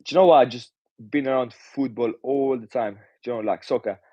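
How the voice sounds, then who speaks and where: A man's voice: medium pitch, a little fast, dark tone, no room sound. A Romanian-born man in his twenties, a pro boxer, answering casually with frequent hesitations and "do you know". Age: 20s. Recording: dull muffled audio.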